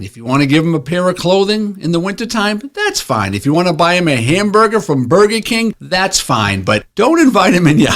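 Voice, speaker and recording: A man's voice: medium pitch, a bit bright. A middle-aged man co-hosting a podcast, telling anecdotes in a blunt, emphatic, casual way. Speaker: podcast co-host, retired police officer.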